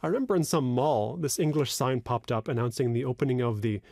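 US man, podcast co-host, dry-witted explainer, mid pitch, dry close sound. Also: quick pace, creaky, a little mellow, no room sound. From United States. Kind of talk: casual chat about language.

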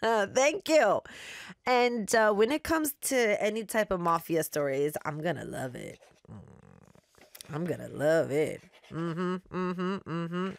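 A woman hosts a music show in a casual, bubbly way and laughs often. Her pitch bounces lively from line to line. The sound is close and dry. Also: slow, vocal fry, no room sound. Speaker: radio show host. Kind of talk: casual on-air chat and story reading.

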